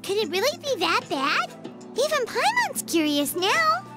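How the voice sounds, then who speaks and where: A woman voicing a tiny, childlike female companion creature in an anime game, squeaky, curious and excitable, talking about itself in the third person. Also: a little slow, full character voice. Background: background music.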